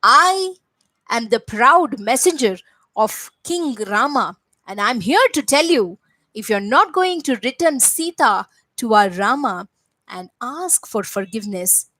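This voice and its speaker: A woman's voice: fairly high pitch, lively intonation, measured pace, bright, forceful tone, no room sound, heavily acted, low-quality recording. A woman voicing a bold male monkey messenger who warns a king firmly. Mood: defiant. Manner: firm, defiant.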